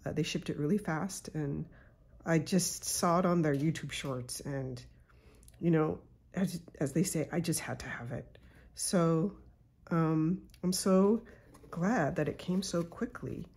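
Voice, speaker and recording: A woman hosting her own channel, congested from a cold, chatting casually and unhurriedly with soft asides while she unboxes a pen at her desk at home. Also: deep voice, measured pace, husky. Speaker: YouTube channel host.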